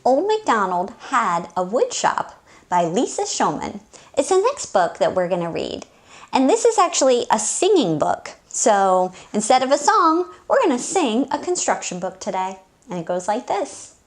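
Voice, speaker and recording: A woman hosting story time, reading aloud to young children in an expressive, deliberate storytelling manner. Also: a little high.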